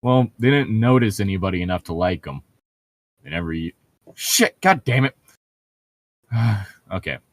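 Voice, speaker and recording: A man's voice: fairly low pitch, big pitch swings, moderate pace, long pauses. A man co-hosting a book read-aloud, casually reading and bantering, often swearing and interrupting himself.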